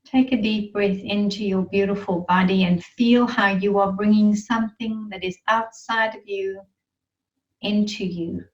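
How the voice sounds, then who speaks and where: A woman leading a guided meditation in a soothing, reverent manner, leaving long pauses between phrases. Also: medium pitch, steady tone. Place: online group gathering. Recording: muffled, roomy, distant online-call sound.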